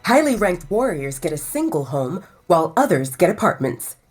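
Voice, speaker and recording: A woman narrator voicing young werewolf men in a lowered voice. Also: very animated, normal speed, husky, faint music behind. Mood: grumbling, matter-of-fact.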